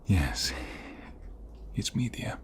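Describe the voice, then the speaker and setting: A man acting as a protective man in love in an ASMR roleplay, moving between intimate murmurs and forceful lines. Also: a little hiss or hum. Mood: anxious. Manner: intimate, slow, swinging from soft murmur to forceful. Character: protective young man in love, tender but fierce.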